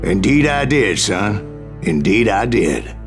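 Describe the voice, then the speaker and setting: An actor voicing an old bounty hunter from the American West, telling gunslinger tales in a folksy, unhurried way for a game. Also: male voice, on the low side, sing-song, over music, full character voice. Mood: grim, proud. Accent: American Western. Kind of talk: scripted in-character storytelling narration.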